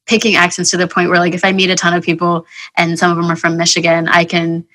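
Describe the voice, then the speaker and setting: A female podcast host chats easily with her guest, full of fillers and quick asides. Her voice is bright and forceful, though it sits a little low in pitch. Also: monotone. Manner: chatty, friendly, filler-heavy. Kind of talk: casual interview chat.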